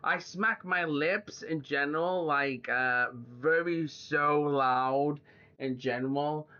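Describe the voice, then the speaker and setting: A young man rambles casually on his podcast, padding his sentences with fillers. His voice sits a bit high and sounds bright and tense. The audio is dull and lo-fi. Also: slow pace, clear, resonant tone. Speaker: podcast host. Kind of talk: casual chat.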